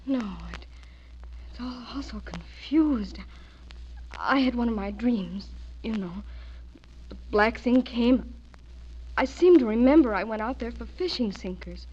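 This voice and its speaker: An actress playing a frightened, fragile young woman in a radio horror drama, with a husky, hesitant and pleading delivery. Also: on the high side, lively intonation, unhurried, pauses often, dark tone, a little hiss or hum. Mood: confused, haunted. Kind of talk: melodramatic radio horror acting. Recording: muffled radio drama audio.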